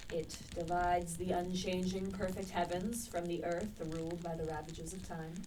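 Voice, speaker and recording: A woman's voice: on the low side, flat delivery, mellow, close to an everyday voice. A woman playing a witty, restless young male poet in a radio play, bookish and dry. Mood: sceptical. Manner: bookish, dry, quick to retort. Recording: distant microphone with clear background noise.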